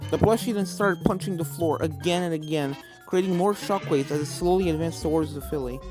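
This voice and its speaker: A woman's voice: low-pitched, a little fast. A woman reading scripted fan fiction as a story narrator. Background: video game music.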